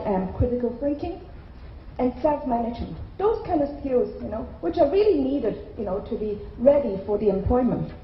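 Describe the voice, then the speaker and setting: A woman's voice: high voice, a little hiss or hum. A middle-aged woman who grew up in Ireland gives a conversational conference keynote into a handheld mic, often checking in with "okay?". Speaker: keynote speaker. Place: large hotel conference hall. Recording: roomy hall sound, slightly dull.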